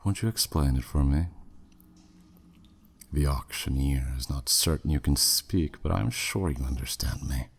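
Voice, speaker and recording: A man's voice: a little slow, exaggerated voice. A man voicing a flirty, menacing demon who taunts a captive in a teasing, smug way, in a scripted ASMR roleplay. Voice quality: very deep, husky, breathy at phrase ends. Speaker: audio roleplay performer.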